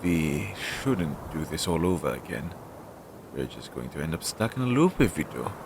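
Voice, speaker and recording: A man's voice: expressive, normal speed, vocal fry, exaggerated voice. A man playing an anxious, talkative demon crow familiar in an audio roleplay, speaking hesitantly with sighs and chatty asides to his master. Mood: weary, relieved. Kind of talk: scripted audio roleplay addressed to the listener. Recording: noisy low-quality home recording.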